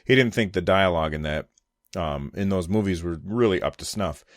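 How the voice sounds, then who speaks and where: An American man with a fairly low voice hosts a casual solo gaming commentary. He thinks aloud in loose, unscripted phrases, talking conversationally. The sound is dry and close, with little room in it. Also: a little fast, dry recording. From United States. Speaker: podcast host giving gaming commentary.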